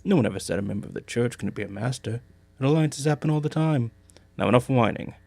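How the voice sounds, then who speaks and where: Man acting gravelly, officious scheming old priest. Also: mid-range voice, fast talker, a little mellow, dry recording. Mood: dismissive. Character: scheming, dismissive older priest, an exasperated father. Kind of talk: comedic anime parody dub.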